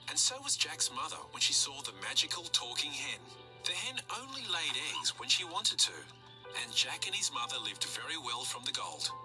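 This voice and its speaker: Animated woman reading a children's story. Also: low-pitched, low-quality recording. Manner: animated, expressive narration.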